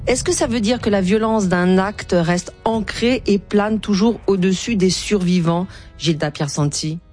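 A woman literary columnist presenting a book segment on the radio in a measured, prepared way. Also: mid-range voice, a little mellow, faint music behind. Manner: measured, reads prepared remarks.

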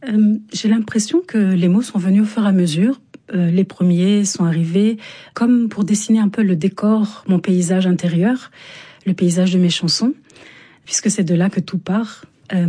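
A woman's voice: medium pitch, steady tone, normal speed, mellow, husky. A woman born in Istanbul and raised in France, a native Turkish speaker, answering reflectively and thoughtfully as an interview guest. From born in Istanbul, grew up in Chartres, France. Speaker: interview guest, author and singer.

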